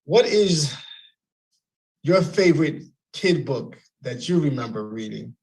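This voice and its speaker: A man hosting a radio interview, halting and repeating words as he rephrases his questions. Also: on the high side, lively intonation, a little mellow, poor audio. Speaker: radio host.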